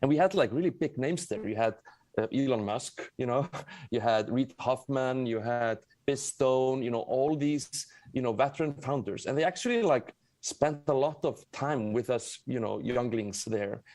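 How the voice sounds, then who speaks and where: Male founder as interview guest, Icelandic accent, mid pitch, rambling self-corrections, very dry recording. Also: normal speed, darkish tone, dry recording. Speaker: guest, tech company founder. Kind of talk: conversational interview storytelling.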